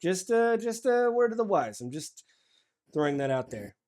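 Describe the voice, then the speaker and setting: A man playing a calm, wry male commanding officer who talks in a measured, low-key way.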